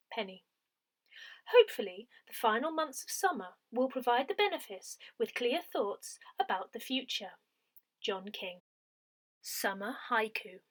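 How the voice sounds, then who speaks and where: A woman reading a scripted parish newsletter aloud in a deliberate, clearly phrased announcing manner. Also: on the high side. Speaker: newsletter reader.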